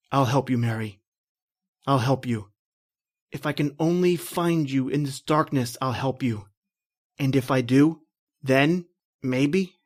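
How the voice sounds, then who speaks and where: A man's voice: medium pitch, pitched below the performer's own voice, close to an everyday voice. A man playing a guilt-ridden, terrified doomed man, declaiming urgently and melodramatically in old-time radio horror style. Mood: weary, mournful.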